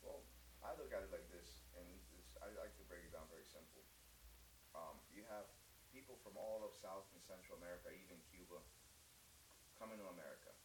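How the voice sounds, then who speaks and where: A deep-voiced man from Costa Rica talks in an earnest, rambling way, often restarting his sentences. He sounds set back from the microphone in a reverberant space, with a clear hiss underneath. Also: fairly quick. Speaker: interviewee, long-distance walker. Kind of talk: casual interview talk. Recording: echoey, distant mic with hiss.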